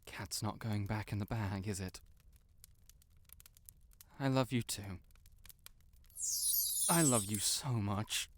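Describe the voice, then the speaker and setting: Man acting a slow-paced, flustered, proud young wizard. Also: lowish voice, a little hiss or hum. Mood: resigned, tender. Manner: halting, exasperated, defensive, emotionally earnest. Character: proud, stuck-up young male wizard, flustered tsundere best friend in love. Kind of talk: intimate fantasy audio roleplay acting.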